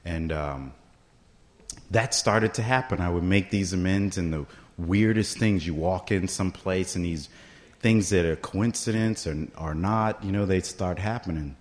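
Middle-aged man, lowish voice, casual storyteller speaking to a meeting, conversational and direct. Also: unhurried. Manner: conversational, keeps asking "right?" to the room. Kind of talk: personal story told to a meeting.

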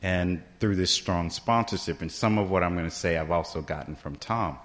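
Middle-aged man, lowish voice, casual storyteller speaking to a meeting, conversational and direct. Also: moderate pace, fairly bright tone. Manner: conversational, keeps asking "right?" to the room. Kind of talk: personal story told to a meeting.

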